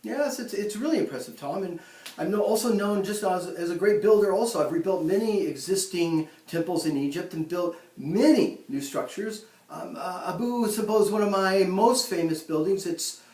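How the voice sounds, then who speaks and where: A man playing a proud ancient Egyptian pharaoh in a mock interview, speaking haltingly with many ums and restarts, recorded in an echoey room from a distance. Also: high voice, darkish tone, lightly acted. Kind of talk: amateur school-style role-play interview.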